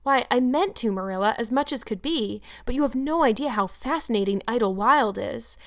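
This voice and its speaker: A woman's voice: fairly high pitch, expressive, normal speed, a bit bright. Woman doing breathless, gushing orphan girl. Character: eager chattering orphan girl, about eleven.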